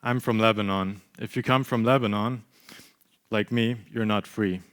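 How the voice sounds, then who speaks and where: Detached, sceptical young Lebanese man, read by a man for a staged play, smooth and fairly low. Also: steady tone, normal speed, a bit bright, close to an everyday voice. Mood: disillusioned. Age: young adult. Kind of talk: staged reading of a short play.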